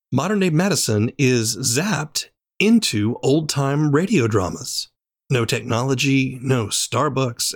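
A man hosting a podcast, reading a measured scripted intro and asking interview questions. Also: medium pitch. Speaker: podcast host.

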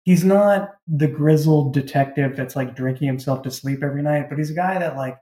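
A man's voice: on the high side, brisk, warm tone. A man chatting casually and reflectively as a writer being interviewed. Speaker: audio drama creator and writer, interview guest. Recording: echoey room.

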